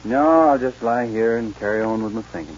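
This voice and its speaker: An actor playing an easygoing but stubborn cowboy loner in a 1940s western radio drama, with a folksy, plainspoken way of talking. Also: male voice, on the low side, a little fast, a little hiss or hum, natural voice. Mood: mild, reflective. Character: easygoing, stubborn young-hearted cowboy loner called Wyoming. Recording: dull 1940s radio recording, music.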